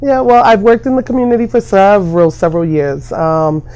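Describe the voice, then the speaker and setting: A middle-aged American woman answering informally in an interview, halting and often repeating her phrases. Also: medium pitch, fairly even intonation, a little mellow, low-quality recording. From New York and Massachusetts, United States. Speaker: interviewee, community worker.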